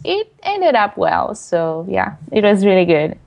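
A woman's voice: mid-range voice, very animated, measured pace, vocal fry, darkish tone. Brazilian woman with frequent fillers. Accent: Brazilian Portuguese. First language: Portuguese. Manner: hesitant, many fillers, laughs often. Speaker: guest author. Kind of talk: casual interview.

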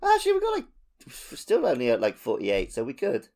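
A man's voice: mid-range voice, huge pitch swings, fairly quick, darkish tone. A man co-hosting a podcast, chatting casually and telling little stories in a playful, jokey way. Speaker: podcast co-host. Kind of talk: casual chat, telling anecdotes.

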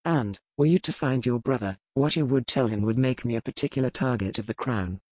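A man's voice: mid-range voice, a little mellow, slightly rough, dry recording, close to an everyday voice. A man playing a stern, cold pirate captain. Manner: measured, cold, formal period speech. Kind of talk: audiobook character reading.

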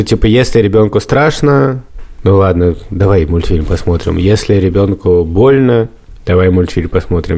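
Low-voiced man in casual conversation. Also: dark tone. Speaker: podcast co-host.

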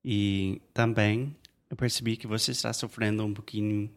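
Male podcast co-host, lowish pitch with plenty of fry, conversational teacher style. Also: little pitch movement, normal speed. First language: English. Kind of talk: casual bilingual podcast chat.

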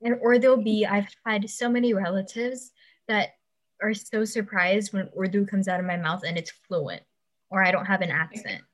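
A woman's voice: medium pitch, fairly even intonation, moderate pace. A young woman of Pakistani family raised in the United States, talking candidly and thinking aloud in a casual conversation. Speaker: conversation partner. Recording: muffled, dull sound.

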